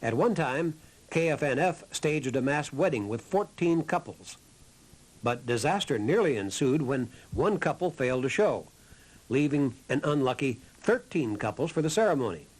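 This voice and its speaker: A man's voice: medium pitch, expressive, moderate pace, slightly rough, low-quality recording. A man reading a scripted historical documentary narration. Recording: narration over background music. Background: music.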